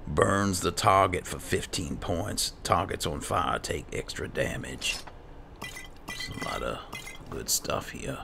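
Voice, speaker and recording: Very deep, gravelly man gaming. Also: takes it slowly. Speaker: gaming video host, player. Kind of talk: casual in-character game roleplay commentary. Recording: clear game ambience noise behind. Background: video game ambience.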